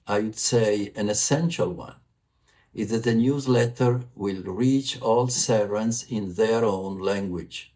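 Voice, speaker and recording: Slow, measured Italian-accented man. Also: mid-range voice, a little mellow. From Italy. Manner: formal, measured delivery. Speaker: vice president for communications. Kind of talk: prerecorded video report.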